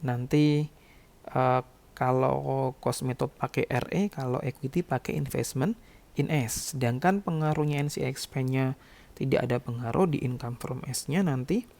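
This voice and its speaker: A male lecturer with a noticeably gravelly edge to his voice. His tone is soft and mellow, sitting at an average male pitch. He explains things in an instructional, step-by-step way, punctuated by small check-in tags. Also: moderate pace. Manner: instructional, repeatedly checking with short tag words. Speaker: accounting lecturer.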